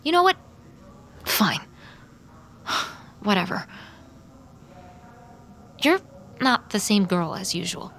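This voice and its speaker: A woman's voice: mid-range voice, sing-song, slow, gravelly, long pauses, a bit bright, a little hiss or hum, exaggerated voice. An actress playing a troubled, bitter woman with a brooding, dramatic manner in an audio drama. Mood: curt, irritated. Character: troubled, bitter woman narrating her unravelling, curt and guarded. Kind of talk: audio drama monologue and dialogue.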